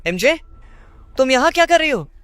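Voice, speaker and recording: A man's voice: very high voice, sing-song, normal speed, fairly bright tone, no echo, full character voice. A man playing an earnest, friendly young vigilante hero with a casual, slightly hesitant boyish manner in game dialogue. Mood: playful, earnest. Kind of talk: Hindi-dubbed video game dialogue.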